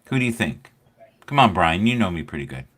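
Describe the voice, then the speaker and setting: A man's voice: sits low, very animated, brisk, fairly bright tone, breathy edge. A man hosting a casual fantasy football chat, playful and quizzing the others.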